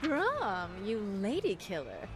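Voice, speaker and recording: A woman's voice: fairly high pitch, wildly swooping pitch, slow, over music, full character voice. An actress playing a confident, teasing female pirate captain in a video game. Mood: playful. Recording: noisy ambient game soundscape behind.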